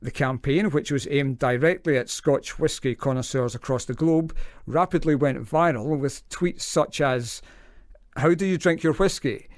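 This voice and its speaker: An actor playing a formal radio newsreader in an audio drama, reporting in a scripted bulletin style. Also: male voice, on the high side, normal speed, no echo, clean studio sound, close to an everyday voice. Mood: formal, composed. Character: formal male radio newsreader, easily flustered. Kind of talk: news reading in audio drama.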